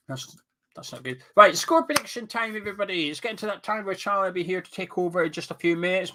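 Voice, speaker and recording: A man's voice: sits high, pushed and bright. A Scottish man hosting a live stream from his webcam, reading out viewer comments and chatting, sniffly and blocked up with a cold. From Scotland. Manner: chatty, banters with chat, drifts off topic.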